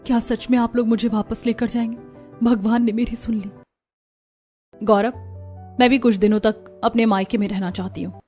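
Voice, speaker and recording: Soft mellow repentant daughter-in-law, played by a woman. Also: fairly high pitch, steady tone, moderate pace, long pauses, faint music behind, natural voice. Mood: humble, devoted. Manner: humble, earnest, pleading.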